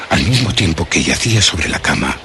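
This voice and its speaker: Very deep, haunted horror narrator. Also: male voice, sing-song, bright, forceful tone, a little hiss or hum, full character voice. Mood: dread, horror. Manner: ornate, solemn, deliberate storytelling. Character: haunted male narrator recalling a monstrous night attack. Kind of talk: dramatic first-person horror radio narration.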